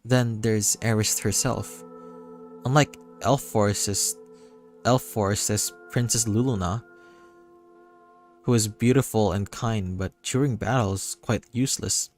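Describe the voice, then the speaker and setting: A man's voice: fairly low pitch, steady tone, slow pace. Man reading a story aloud over music. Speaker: streamer reading a visual novel aloud. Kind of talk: livestreamed dramatic read-aloud, narration. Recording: music behind the voice.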